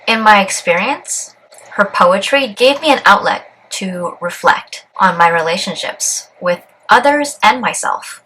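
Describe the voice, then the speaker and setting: A woman in her twenties from Canada, whose first language is Cantonese, calmly and earnestly talking through a personal life update. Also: mid-range voice, slight background noise, low-quality recording. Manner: calm, earnest and measured. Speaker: vlogger. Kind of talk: reflective personal vlog, partly read aloud.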